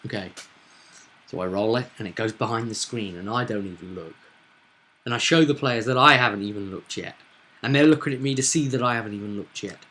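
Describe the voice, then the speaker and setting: A man talking casually as a game master, recapping and explaining a tabletop roleplaying session in a halting, thinking-aloud way. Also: lowish voice, sharp tone, a little hiss or hum.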